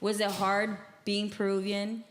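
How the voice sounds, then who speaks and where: A woman's voice: medium pitch, monotone, measured pace, echoey room, slight background noise. A woman from the San Fernando Valley co-hosting a casual podcast chat, reflective and steering the topic. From San Fernando Valley, California. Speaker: podcast co-host.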